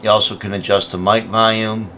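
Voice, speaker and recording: A man's voice: lowish voice, little pitch movement, fairly quick, bright, forceful tone, a little hiss or hum. A man presenting a product tutorial, explaining each step in a plain, methodical way. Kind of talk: step-by-step instructional narration.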